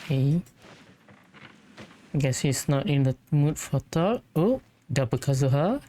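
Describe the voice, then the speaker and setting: A man's voice: a little high, animated, measured pace, dark tone. A man giving casual, offhand commentary while playing a video game. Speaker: gamer commenting on own playthrough.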